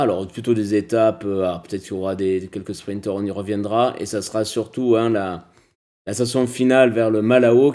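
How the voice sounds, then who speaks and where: A man's voice: lowish voice, warm tone. A man co-hosting a cycling podcast, talking casually with many hesitations and restarts.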